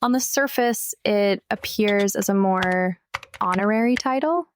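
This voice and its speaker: A woman playing a blunt, determined young hacker sleuth in an audio drama, with a deadpan manner. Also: medium pitch, unhurried, a little hiss or hum, natural voice.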